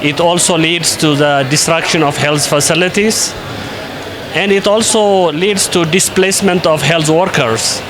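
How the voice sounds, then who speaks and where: A man from Ethiopia, an invited conference speaker, answering interview questions in a measured way with frequent pauses and fillers. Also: fairly high pitch, background noise. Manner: measured, with many hesitations and fillers.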